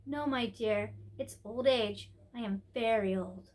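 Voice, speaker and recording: A woman voicing a very old, gentle and mysterious great-great-grandmother queen in a storybook, speaking patiently. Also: fairly high pitch, a little slow. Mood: gentle, knowing.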